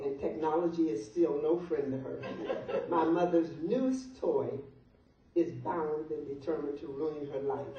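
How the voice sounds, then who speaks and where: A woman's voice: low voice, warm tone. Older female, guest reader delivering a funny open letter; distant, heavily reverberant room sound. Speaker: guest reader at a birthday party. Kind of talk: reading a humorous open letter aloud to guests. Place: large echoey room at a birthday party. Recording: muffled, very echoey room, distant mic, noise.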